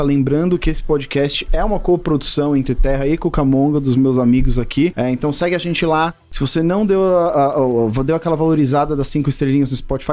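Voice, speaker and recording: Fast-talking jokey Brazilian co-host. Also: male voice, a little high, a little mellow, lo-fi sound. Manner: jokey, breezy plugs. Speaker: podcast co-host. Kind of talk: promotional chat.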